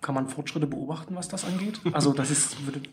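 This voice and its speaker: A man hosting a podcast interview in casual conversation, feeling his way haltingly through questions and repeating words. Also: a little high.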